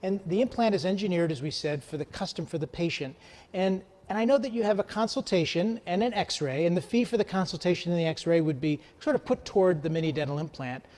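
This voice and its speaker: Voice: medium pitch, quick pace, a little mellow, big-room sound. An interview host leading a promotional chat, repeating words and reading out contact details.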